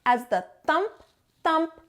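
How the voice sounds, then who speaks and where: A woman narrating a children's storybook as a storytime teacher, in an expressive read-aloud style. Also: very high voice, slow pace.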